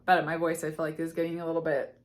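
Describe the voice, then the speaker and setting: Slightly hoarse woman coach, lowish voice. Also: monotone, quick pace. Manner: earnest, many fillers and restarts. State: slightly hoarse, losing her voice. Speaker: eating disorder recovery coach. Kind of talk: casual talk to camera.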